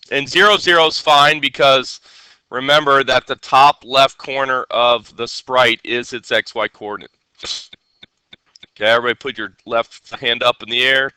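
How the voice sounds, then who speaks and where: A male teacher with a very bright, forceful voice, nasal from a head cold yet crisply clear. He explains coding to a class in an instructional way and keeps checking that students follow. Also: medium pitch, dry recording, poor audio. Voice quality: nasal, congested. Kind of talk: live classroom coding lesson.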